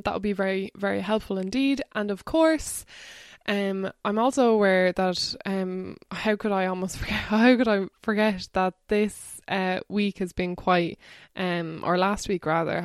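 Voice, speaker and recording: A woman's voice: mid-range voice, smooth, dry recording, studio quality. A woman hosting a podcast, talking earnestly and casually with lots of fillers. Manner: chatty, earnest, swears casually, many fillers. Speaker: podcast host.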